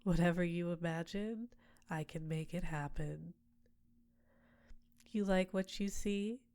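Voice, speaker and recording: A woman voicing an ancient succubus queen in an audio roleplay, talking slowly and sultrily with soft whispers. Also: on the low side. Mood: seductive.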